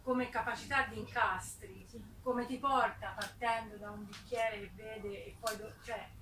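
A woman's voice: a little high, steady tone, a little slow, background noise. Emphatic woman, distant in an echoey room. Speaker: participant in a book discussion. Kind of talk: casual spontaneous discussion. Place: echoing room at a public book event. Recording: echoey room, distant mic, audience chatter.

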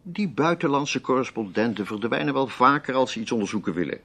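A man's voice: medium pitch, lively intonation, a little fast, pushed and bright. An actor in a Dutch radio play playing a paternal, sensible male friend, soothing and a little patronising. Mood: soothing, reassuring.

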